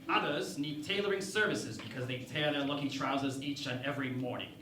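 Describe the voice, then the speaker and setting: An actor playing a pompous, lecherous starship captain in a parody radio play, with a theatrical, grandiose delivery. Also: male voice, mid-range voice, a little fast, sharp tone, distant mic, lightly acted. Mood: commanding. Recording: echoey room, off-mic, background noise.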